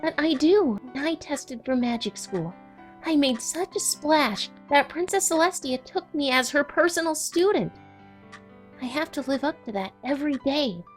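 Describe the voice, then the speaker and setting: An eager young mare from a cartoon , acted by a woman, high-pitched and very expressive. She talks in earnest, wordy bursts and is quick to apologize, with music playing behind her. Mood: confident, earnest. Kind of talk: comic dub cartoon acting.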